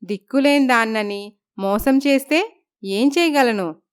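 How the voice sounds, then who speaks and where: A worried young wife, hurt and reproachful, as a woman acts her out. She pleads with her husband in a clear, smooth voice pitched a little high. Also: measured pace, lightly acted. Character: wronged young first wife, anxious.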